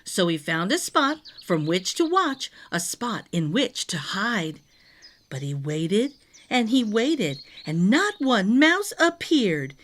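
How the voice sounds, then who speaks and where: A woman reading a rhyming picture book aloud to young children, expressively, with deliberate dramatic phrasing. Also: medium pitch, big pitch swings, fairly bright tone. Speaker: storytime reader.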